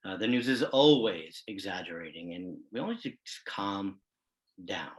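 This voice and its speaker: A man's voice: low-pitched, animated, a little slow. A man playing a reflective school staffer who second-guesses himself in conversational documentary theater.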